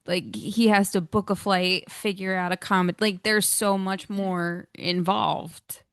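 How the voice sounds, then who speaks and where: A woman's voice: mid-range voice, steady tone, slow, fairly bright tone, clean tone, no echo, studio quality. A woman co-hosting a podcast, talking casually and sympathetically in a hesitant, conversational way. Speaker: podcast co-host. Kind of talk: casual discussion.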